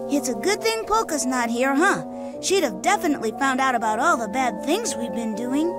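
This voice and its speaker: A woman's voice: high-pitched, expressive, moderate pace, over loud music. A woman voicing a spunky, outspoken young boy in a video game, blunt and grumbling. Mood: coaxing. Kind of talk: video game cutscene voice acting.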